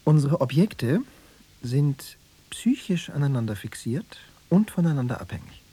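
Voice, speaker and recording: A man in a radio play acting a smooth, insinuating East German secret police officer, falsely reassuring and bureaucratic. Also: medium pitch, very animated, a little slow, dark tone, big-room sound. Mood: pedantic, eager.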